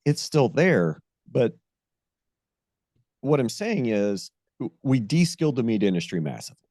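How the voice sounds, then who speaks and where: A man's voice: medium pitch, very animated, dark tone, no echo, studio quality. A man hosting a ranching podcast, talking in a loose, rambling way and often restarting his phrases. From American. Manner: rambling, repeats and restarts phrases, drawn-out emphasis. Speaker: podcast host. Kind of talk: casual interview chat.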